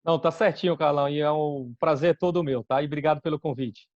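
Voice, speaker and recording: A man in his forties from Salvador with a Bahian Brazilian accent, giving measured interview answers with frequent restarts as a company executive. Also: on the high side, fairly even intonation, a little mellow, dry recording. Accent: Bahian Brazilian Portuguese. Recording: dull, dry lo-fi sound.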